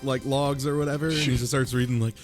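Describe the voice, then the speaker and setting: A man's voice: mid-range voice, steady tone, fast talker, over music. A man on a casual podcast panel, ranting about a video game in a mocking, sweary way.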